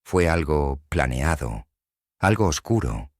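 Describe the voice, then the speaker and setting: An extremely deep-voiced man with a Mexican accent tells a horror story as a scripted narration. His delivery is grave and full of suspense. The sound is dry, with no room around the voice. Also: very animated, slow, dry recording.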